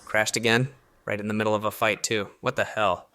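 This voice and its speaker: A man's voice: fairly low pitch, level tone, a little fast, a little hiss or hum. An actor playing a young male game programmer in an audio drama, with an enthusiastic manner that can turn uneasy. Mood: frustrated, puzzled. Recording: loud music behind the voice. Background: prominent music bed.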